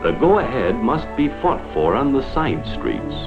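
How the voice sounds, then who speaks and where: A man's voice: medium pitch, sing-song, measured pace, creaky, music in the background. An American man delivering scripted 1950s promotional film narration in an earnest, oratorical, dramatic manner. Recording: vintage 1950s film soundtrack, muffled.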